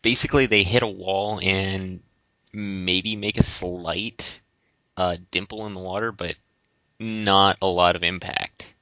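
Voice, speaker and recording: A man co-hosting a casual debate podcast, speaking in a halting, stammering way. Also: low-pitched, steady tone, pushed and bright, no room sound. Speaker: podcast co-host. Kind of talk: casual debate-style chat. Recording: slightly dull, lo-fi audio.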